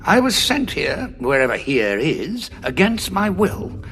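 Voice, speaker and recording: An actor playing a calm, ironic male time traveller stranded in a war, speaking in a dry, questioning way. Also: a little high, a little slow, faint music behind, heavily acted. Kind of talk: audio drama acting.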